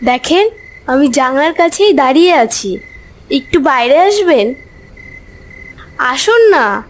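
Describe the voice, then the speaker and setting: A woman voicing an eerie, beckoning female ghost in an animated horror story, with drawn-out speech. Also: high voice, a little hiss or hum. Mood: luring, coaxing. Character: eerie female ghost luring a man outside.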